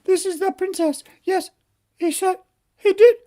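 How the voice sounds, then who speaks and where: A man's voice: extremely high pitch, fairly even intonation, unhurried, dark tone, voice pushed up. A man voicing a spoiled, gushing young princess in a comic fairy tale, with a singsong, whiny manner. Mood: dramatic.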